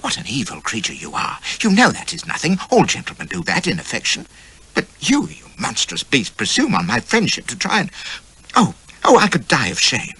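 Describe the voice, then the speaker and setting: A man doing a shrill, prim young lady. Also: huge pitch swings, moderate pace, few pauses, slightly rough, full character voice, low-quality recording. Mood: indignant. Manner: shrill, squealing when outraged, hushed to a hiss. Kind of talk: audiobook character voice.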